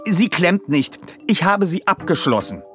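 Audio drama role: stressed, exasperated woman performed by a man, raised pitch, flustered delivery, faint music. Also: sing-song, unhurried, fairly bright tone, exaggerated voice. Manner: flustered, hesitant interjections, sighing. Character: Julia Scott, stressed, irritated adult woman running a house sale.

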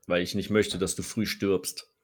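Man, low voice, teasing, deadpan, casual gaming podcast co-host. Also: normal speed. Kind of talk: casual chat.

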